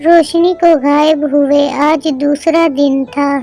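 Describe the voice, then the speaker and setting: A woman's voice: sits high, fairly even intonation, slow, very noisy. A woman narrating a cartoon story in a scripted storybook style, with music behind her.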